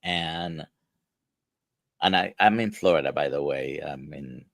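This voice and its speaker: A deep-voiced man with a Spanish accent hosts a live stream and speaks in halting bursts filled with "uh." His voice has a gravelly edge and is recorded dry and close, with no room sound. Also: a bit bright, dry recording. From grew up speaking Spanish, now near Orlando. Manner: halting, many "uh" fillers, reads viewer questions. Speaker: host, physician. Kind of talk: casual live-stream interview.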